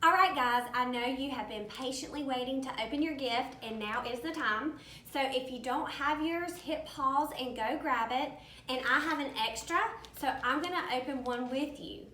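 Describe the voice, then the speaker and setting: A woman's voice: sits high, normal speed, sharp tone. A woman presenting as a school educator, giving friendly instructions to children and families. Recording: echoey, distant mic, background noise.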